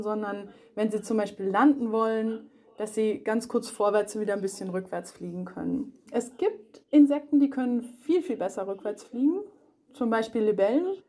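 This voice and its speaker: A woman's voice: fairly high pitch, dark tone. A woman animal researcher explaining things simply to children as an expert guest. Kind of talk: explanatory interview answers for children.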